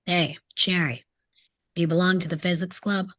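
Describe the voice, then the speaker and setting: A woman's voice: on the low side, steady tone, moderate pace, a bit bright, no echo, natural voice. A woman playing a sarcastic, rebellious teenage boy in a script table read, with a mocking, sardonic delivery. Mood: scornful, taunting. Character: sarcastic rebellious male teenage troublemaker, taunting and cynical.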